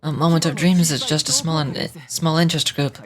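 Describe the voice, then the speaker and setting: A woman's voice: deep voice, fairly even intonation, brisk, a crowd in the background, voice pushed down, lightly acted. A woman voicing worried, apologetic townsfolk, reading game dialogue in a hesitant, plaintive way. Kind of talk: dramatic read-aloud of game dialogue.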